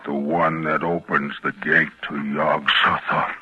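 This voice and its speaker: An actor playing a huge, half-human backwoods man, menacing and obsessive, with slow rustic speech in a 1940s radio horror drama. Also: very deep voice, level tone, muffled recording, very noisy. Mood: brooding, insistent. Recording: band-limited 1940s radio recording with music.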